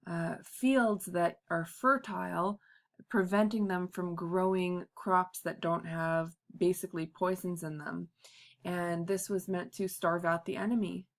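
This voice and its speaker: A woman giving a class history presentation, halting often with ums and uhs. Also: lowish voice. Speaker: student presenter.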